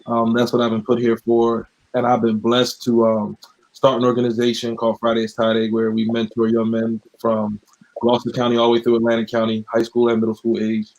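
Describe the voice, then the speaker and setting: Flat-toned man on a video call. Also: mid-range voice, brisk, muffled recording. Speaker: guest mentor. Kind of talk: casual video call chat.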